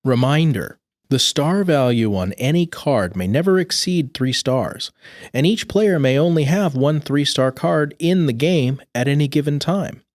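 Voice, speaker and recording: A man's voice: on the high side, lively intonation, unhurried, a little mellow, no room sound, studio quality. A man reading scripted rules aloud in a precise, instructional manner. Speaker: co-host reading game rules. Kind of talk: scripted rulebook narration.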